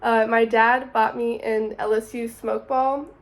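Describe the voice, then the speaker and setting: A woman's voice: on the high side, little pitch movement, a little slow. A woman of twenty, narrating her summer in a chatty, upbeat vlog voice-over. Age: 20s. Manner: chatty, upbeat, says "super" often. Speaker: vlogger.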